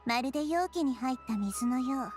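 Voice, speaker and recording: A woman voicing a gentle, wise girl deity in an anime game, speaking in a poised, philosophical manner. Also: high voice, animated, fast talker, faint music behind. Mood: thoughtful. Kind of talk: anime game voice acting in Japanese.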